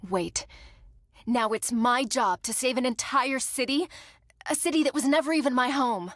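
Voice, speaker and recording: A fierce, high-voiced young heroine. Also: female voice, moderate pace, fairly bright tone, dry recording, studio quality. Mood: defiant, bitter. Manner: emotional, theatrical, defiant. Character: fierce young orphan heroine, defiant, vengeful, tender granddaughter. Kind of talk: dramatic video game voice acting.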